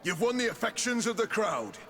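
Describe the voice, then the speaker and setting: An actor playing a showy, formal arena master in a theatrical fantasy game style. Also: male voice, sits high, very animated, a little fast, a bit bright, a little hiss or hum, heavily acted. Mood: impressed. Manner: flamboyant, formal, showman-like. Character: showy male arena master announcing fights to the crowd. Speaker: arena announcer. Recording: long echoing reverb.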